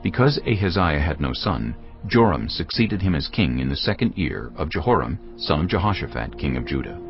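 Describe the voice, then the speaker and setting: A man narrating scripture as a scripted drama, solemn and measured. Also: a bass voice, sing-song. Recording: dull lo-fi sound, music underneath. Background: faint music.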